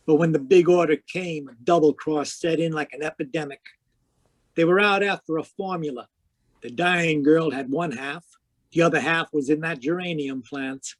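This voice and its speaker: A man acts out a hard-boiled private eye from an old radio play. His voice sits a little high and is recorded very dry. He talks in terse, clipped lines with a wry, world-weary cynicism. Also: no echo, natural voice. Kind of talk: 1940s radio detective acting and narration.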